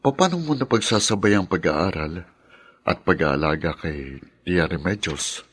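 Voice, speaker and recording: A man's voice: sits low, expressive, a little slow, fairly bright tone, slightly rough, exaggerated voice. A man playing a kindly, casual older brother in a radio drama, with an understated way of talking. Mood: friendly, admiring.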